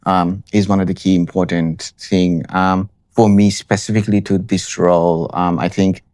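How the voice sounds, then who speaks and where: A young man from Nepal, answering interview questions in a relaxed way, often restarting phrases with ums. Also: sits low, moderate pace, no room sound, clean studio sound. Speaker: interviewee, account manager.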